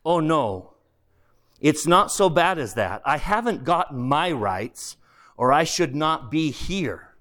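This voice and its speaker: A man voicing a serene, gently amused heavenly spirit in a storybook, speaking with calm candour. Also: on the high side, slow pace, full character voice. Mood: calm, reassuring. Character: serene, mirthful heavenly spirit of a forgiven male murderer. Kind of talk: dramatised audiobook character voice.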